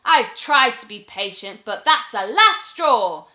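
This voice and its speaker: A woman's voice: fairly high pitch, lively intonation, slow pace, sharp tone, reverberant, exaggerated voice. A woman voicing a hot-tempered, mischievous young witch in a storybook, exclaiming and chanting rhymes dramatically. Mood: furious.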